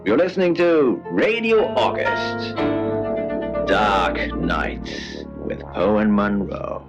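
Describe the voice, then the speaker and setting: Male radio announcer, low gravelly voice, slow scripted intro, loud music. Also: lively intonation.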